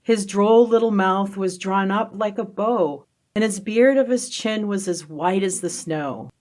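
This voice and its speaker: Expressive woman reciting a poem. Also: medium pitch, unhurried. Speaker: podcast co-host. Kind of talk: podcast chat and dramatic poem reading.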